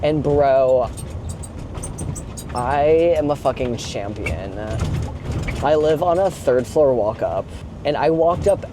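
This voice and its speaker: Young man vlogging over clear road noise. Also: a little high. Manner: informal, sweary, rambling with frequent "like". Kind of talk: casual confessional chat while driving. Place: inside a moving box truck. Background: engine and road noise.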